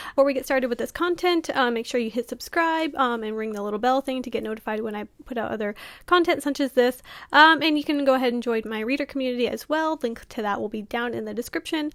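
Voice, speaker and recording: A woman author talking casually to camera, full of fillers and small laughs, whose voice is going hoarse. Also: on the high side, no room sound. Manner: chatty, many fillers, laughs often. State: losing her voice. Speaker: author vlogger.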